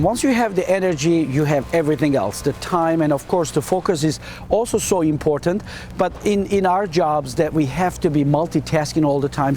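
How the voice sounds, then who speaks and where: A man from Turkey with a Turkish accent, a hospitality dean answering quick interview questions earnestly and often repeating words as he searches for phrasing. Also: fairly high pitch, a little mellow, a little hiss or hum.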